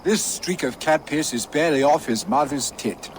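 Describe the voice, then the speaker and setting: A man's voice: on the high side, normal speed, slight background noise, natural voice. An actor playing a crude male Viking warrior hurling coarse insults in a video game. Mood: taunting. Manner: taunting, coarse.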